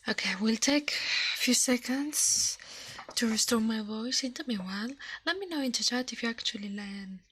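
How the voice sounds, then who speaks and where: A woman hosting an ASMR livestream in a breathy, hushed voice that often drops to a whisper, murmuring slowly close to the microphone. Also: a little high, slight background noise.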